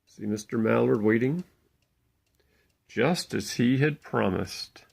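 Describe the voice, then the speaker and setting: A man reading a picture book aloud to young children, playful and expressive, pausing to point things out. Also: mid-range voice, vocal fry, slight background noise. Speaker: parent reading a bedtime story aloud.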